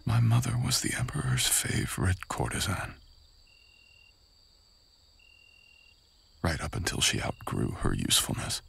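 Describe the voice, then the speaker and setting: A man playing a menacing, bitter, seductive samurai in an intimate ASMR roleplay, husky and breathy, often dropping into a whisper, with a taunting manner. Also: deep voice, huge pitch swings, a little fast, a bit bright, full character voice. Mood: guarded, cold.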